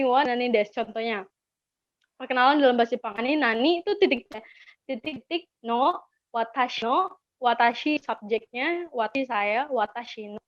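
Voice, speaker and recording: Indonesian lady teaching languages on a webinar, high voice, chatty, dull lofi audio. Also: dry recording. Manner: chatty, encouraging, repeats key words for learners. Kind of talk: informal language lesson.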